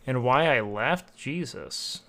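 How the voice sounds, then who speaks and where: A man's voice: mid-range voice, lively intonation, slow, creaky, sharp tone. A man streaming live, reacting to videos with blunt, profane asides.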